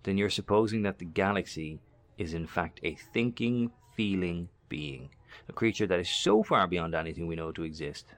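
A man acts a learned male investigator in a horror audio drama. His voice is deep and low, and he reasons carefully in wordy, polite, analytical phrases with a skeptical edge. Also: normal speed, natural voice. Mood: wary, incredulous.

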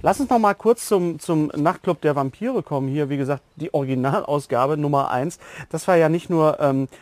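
A man's voice: a little high, normal speed, no echo. A man hosting a podcast interview in a casual, chatty, teasing way.

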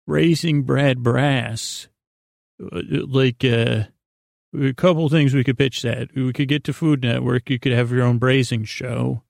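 A man hosting a sleep podcast with a rambling, improvised monologue, halting and circling back on himself in an unhurried, lulling way. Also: medium pitch, steady tone, vocal fry, no room sound, clean studio sound. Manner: halting, meandering, self-interrupting, with trailing repetitions. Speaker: podcast host.

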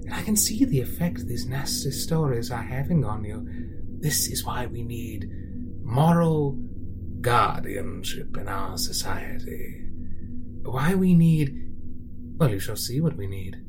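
A man's voice: medium pitch, lively intonation, measured pace, music in the background, rough recording. A man playing a pompous, menacing male narrator who sets himself up as a moral guardian and drops into whispers. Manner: theatrical, shifting to whispers. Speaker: narrator of a comedy podcast.

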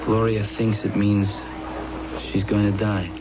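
Cautious, resigned everyman played by a male actor, lowish voice, subdued radio drama delivery, noisy old tape. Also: little pitch movement, measured pace, lightly acted. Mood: uneasy. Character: cautious, resigned man, reluctant gambling companion. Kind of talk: 1970s radio drama acting. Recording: muffled, noisy vintage radio recording.

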